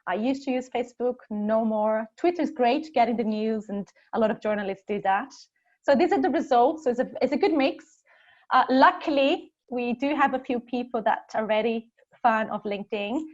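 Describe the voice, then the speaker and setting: A woman's voice: fairly high pitch, normal speed, a little mellow. A woman in her thirties from Brazil with a Brazilian accent, giving an upbeat webinar talk from her home. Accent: Brazilian Portuguese. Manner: upbeat, engaging the audience. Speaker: guest speaker. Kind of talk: webinar presentation. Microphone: video call. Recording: muffled video call audio.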